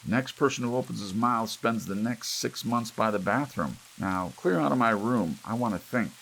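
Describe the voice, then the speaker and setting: A man's voice: mid-range voice, moderate pace, creaky, voice pushed up. A man voicing an imperious, bossy man who barks clipped orders, in an audiobook reading. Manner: commanding, clipped shouting. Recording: steady rain and hiss behind.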